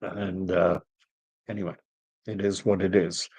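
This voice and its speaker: A man's voice: low-pitched, little pitch movement, unhurried, gravelly, darkish tone, breathy edge. A middle-aged man from Canada hosting a live stream, rambling with many fillers as he reads chat comments, his voice wearing out. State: losing his voice.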